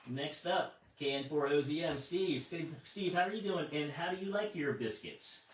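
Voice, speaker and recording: A man acting as net control on a ham radio net, friendly and affirming, speaking casually with halting, repeated words. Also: fairly high pitch. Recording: distant, echoey amateur radio transmission.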